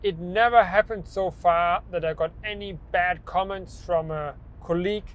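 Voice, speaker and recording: A man's voice: high-pitched, moderate pace, a little hiss or hum. A young man who stutters, with repeated words and restarts, talking about his own experience in a personal vlog. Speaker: vlogger.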